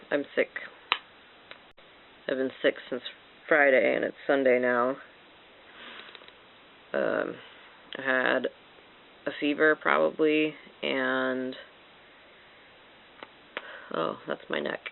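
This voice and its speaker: A woman's voice: slow, creaky, long pauses, slight background noise. A sick woman with a congested, sore-throated voice, chatting casually at home to an old handheld camcorder. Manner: rambling, self-mocking, talks to cats in high baby voice. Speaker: vlogger. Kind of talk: casual vlog chat. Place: home, indoors. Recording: tinny lo-fi camcorder audio.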